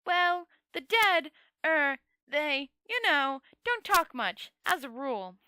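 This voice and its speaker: A woman reader puts on an earnest, naive man from a fantasy tale. He sounds high, bright and nervous, hesitating politely as he speaks. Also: sing-song, slow pace, slight background noise, heavily acted, poor audio. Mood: bewildered, earnest.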